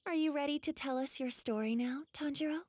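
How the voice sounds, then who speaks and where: High, sweetly polite young swordswoman played by a woman. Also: fairly quick, a little mellow, no room sound, natural voice. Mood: gentle, coaxing. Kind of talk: English anime game voice acting.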